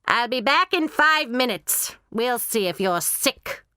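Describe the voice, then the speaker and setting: Woman voicing a cruel older matron, harsh, barking, clipped, raised high. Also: lively intonation, slow, sharp tone, smooth, no room sound, studio quality, full character voice. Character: cruel stern older woman orphanage head.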